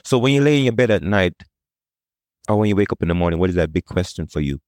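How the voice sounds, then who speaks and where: Brief: man co-host, very deep voice, quick joking delivery. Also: expressive, a little mellow, no echo, studio quality. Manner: joking and playful. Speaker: podcast co-host. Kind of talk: casual podcast conversation.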